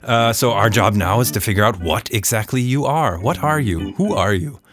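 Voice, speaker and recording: A man hosting a children's podcast and telling stories in a playful, mock-serious, unhurried way. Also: lowish voice, expressive, over music. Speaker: podcast host and storyteller. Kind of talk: children's storytelling, casual and scripted.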